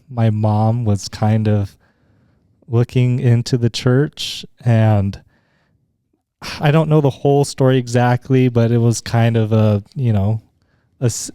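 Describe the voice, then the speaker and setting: Chill young utah dude guesting on a chat, smooth low-key voice, says um a lot. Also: male voice, dark tone, dry recording, clean studio sound. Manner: easygoing, hesitant with fillers, agreeable. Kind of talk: casual chat.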